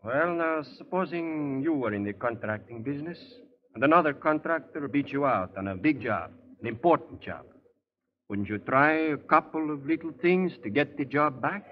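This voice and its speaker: An actor playing a plain-spoken, suspicious old-timer tunnel worker in a 1930s radio drama. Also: male voice, on the high side, lively intonation, normal speed, natural voice. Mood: suspicious, insinuating. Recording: muffled lo-fi 1930s radio broadcast.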